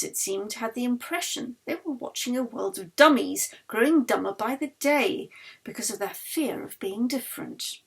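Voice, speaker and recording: A woman author reading her novel aloud as literary narration, measured and expressive. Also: on the high side, husky.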